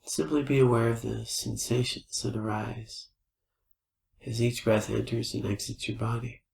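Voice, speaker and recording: Gravelly man guiding meditation in echoey room. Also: mid-range voice, flat delivery. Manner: gentle, unhurried invitations with pauses. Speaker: meditation teacher. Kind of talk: guided meditation. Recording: roomy, echoing space.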